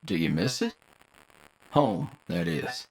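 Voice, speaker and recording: A man's voice: medium pitch, huge pitch swings, slow pace, gravelly, heavily acted. A man voicing a wry, laid-back crewmate in a sci-fi audio roleplay, with a drawling, colloquial manner. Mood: gentle, curious. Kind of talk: anime-style audio roleplay acting.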